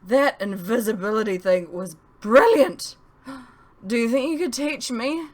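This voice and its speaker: A woman's voice: on the high side, unhurried, voice pushed up. A woman voicing a giddy, giggling young woman in an audiobook, breathless, reckless and gushing.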